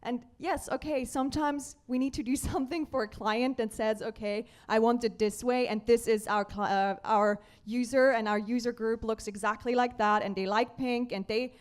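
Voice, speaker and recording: A woman on a conference panel speaks earnestly in a fairly high voice. She often repeats herself while she searches for the right word. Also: steady tone, normal speed, few pauses.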